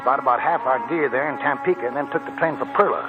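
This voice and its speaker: An actor playing a cheerful, wily old gold prospector with folksy, rambling talk, in 1940s radio style. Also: male voice, medium pitch, rapid-fire, phone-quality sound, loud music behind the voice, natural voice. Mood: eager. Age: older. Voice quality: creaky old-timer's voice.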